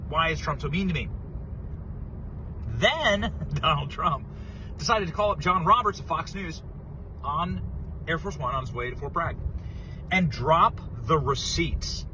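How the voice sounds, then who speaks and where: A man's voice: on the high side, lively intonation, unhurried, long pauses, noisy background. A man with an American accent giving opinionated, incredulous political commentary in an informal, emphatic way as a show host.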